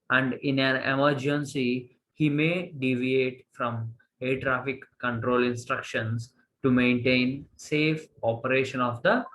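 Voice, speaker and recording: Slow-paced Indian male lecturer. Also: mid-range voice, a bit bright, slight background noise, low-quality recording. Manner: halting, repeats phrases for emphasis. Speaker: college lecturer. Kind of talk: slide lecture, reading and explaining.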